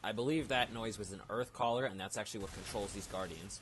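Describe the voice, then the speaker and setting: A man's voice: on the low side, fast. A man giving casual, off-the-cuff game commentary in a rambling, unsure way, often correcting himself. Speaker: let's play gamer. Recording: noisy home game recording.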